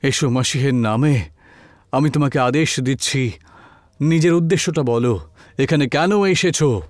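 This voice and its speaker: A man's voice: mid-range voice, moderate pace, dry recording, close to an everyday voice. A man voicing a stern, ominous priest, solemn and commanding, in a dramatic horror cartoon. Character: stern, ominous priest exorcising spirits, secretly vengeful.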